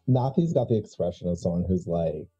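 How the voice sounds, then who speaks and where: A man casually describes what his character does in a tabletop game. His voice is low and very mellow. The recording is a bit muffled, with light music underneath. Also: expressive, a little fast.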